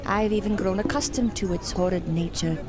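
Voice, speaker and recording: A woman playing a contemptuous, cryptic circus mystic in an audio drama, speaking in a deliberate, theatrical and cutting way. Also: mid-range voice, music in the background, natural voice. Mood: ominous, cryptic.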